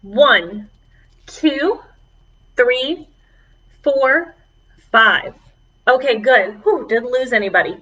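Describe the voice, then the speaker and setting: Female children's librarian for preschool storytime: lively, swooping delivery, slow and encouraging, muffled lo-fi online video sound. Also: a little high, recorded from a distance. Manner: encouraging, animated, speaking to young children. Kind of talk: preschool singalong and chat to children. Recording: dull, lo-fi online video audio.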